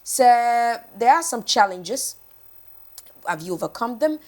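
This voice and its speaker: A woman with a Ghanaian accent hosting a casual interview in an informal, animated way, often repeating herself. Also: fairly high pitch, takes it slowly. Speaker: morning show host interviewing a guest. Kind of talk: casual chat interview mixing English and a local language. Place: TV morning show studio.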